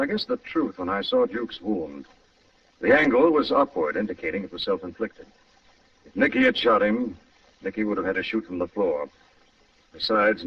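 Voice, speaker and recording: A man acting a shrewd, composed gentleman detective in an old radio drama. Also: on the low side, vocal fry, slightly rough, full character voice. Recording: muffled old radio recording, distant and roomy.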